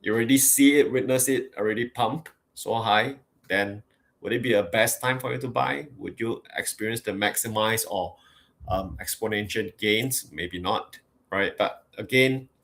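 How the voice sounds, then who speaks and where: A man with a low-set voice talks on a casual livestream. He muses in a reflective tone, often ending his points with "right?". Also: creaky, a bit bright. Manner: reflective, asks "right?" often, laughs lightly.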